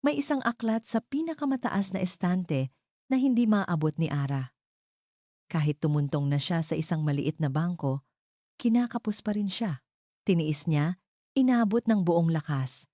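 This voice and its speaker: Low, mellow woman storyteller. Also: dry recording, clean studio sound. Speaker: story narrator. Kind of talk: dramatic scripted storytelling narration.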